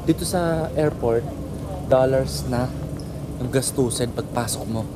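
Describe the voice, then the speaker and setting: Male Filipino travel vlogger, medium pitch, unhurried informal delivery, very noisy handheld recording. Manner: informal, mixes Tagalog and English. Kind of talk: casual vlog chat.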